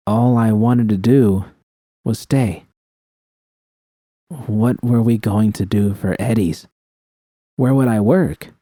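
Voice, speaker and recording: A man's voice: fairly low pitch, mellow, no echo. A man reading a scripted first-person story as an audiobook narrator.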